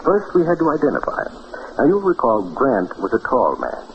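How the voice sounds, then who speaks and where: A man's voice: medium pitch, huge pitch swings, moderate pace. An actor playing a self-satisfied lawyer-detective who theatrically poses and answers his own questions, in old-time radio drama style. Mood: proud, explaining. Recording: muffled old radio broadcast, faint hiss.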